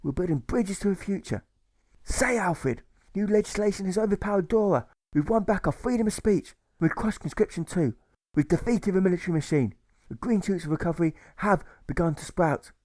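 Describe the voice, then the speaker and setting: A man's voice: high voice, fairly quick, a little mellow, no echo, voice pushed up, natural voice. A man voicing a genteel, impassioned suffragist woman making rousing speeches with flamboyant flair in an audiobook.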